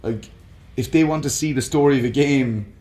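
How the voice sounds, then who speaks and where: A man's voice: mid-range voice, normal speed, darkish tone. Enthusiastic man chatting in a roomy space. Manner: enthusiastic, opinionated, sweary. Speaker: podcast host. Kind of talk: casual chat about games and films.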